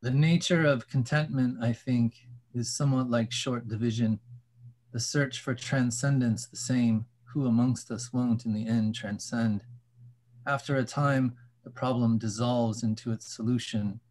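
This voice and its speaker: A man's voice: medium pitch, fairly even intonation, a little slow, darkish tone. A man reading a short reflective literary piece aloud.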